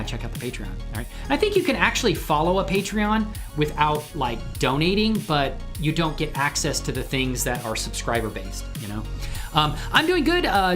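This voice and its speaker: A man hosting a casual livestream chat, talking in an effusive, grateful manner with his viewers. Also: medium pitch. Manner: effusive, excitedly whooping greetings to viewers, grateful. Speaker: live stream host and 3D animation creator.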